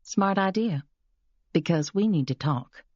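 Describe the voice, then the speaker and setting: A man voicing a calm, level-headed woman. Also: high-pitched, a little slow, no room sound, dull audio, voice pushed up. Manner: calm and quiet.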